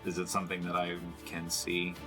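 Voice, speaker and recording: A man's voice: low-pitched, monotone, fairly quick, music in the background. A man talking casually as a player in a tabletop game.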